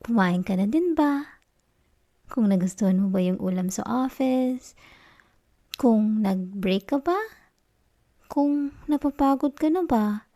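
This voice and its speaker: A woman playing a needy, affectionate wife in an intimate ASMR roleplay, with a Filipino accent and a soft, coaxing, pouty delivery. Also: mid-range voice. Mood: wistful, longing. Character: needy, affectionate wife, sulky yet tender and teasing.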